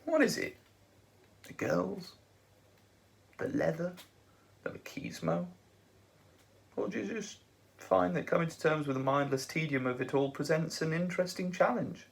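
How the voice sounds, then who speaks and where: A man's voice: sits high, huge pitch swings, moderate pace, voice pushed up, exaggerated voice. A man doing a breezy, coaxing young talker. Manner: coaxing, bright, persuasive. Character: cunning, breezy young man talking his way out.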